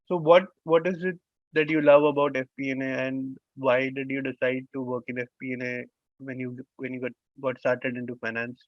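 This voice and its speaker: A man hosting an interview who speaks haltingly, repeats words, and uses many "uh" fillers. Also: medium pitch, level tone, no echo. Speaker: interview host. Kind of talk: conversational interview. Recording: dull, lo-fi sound.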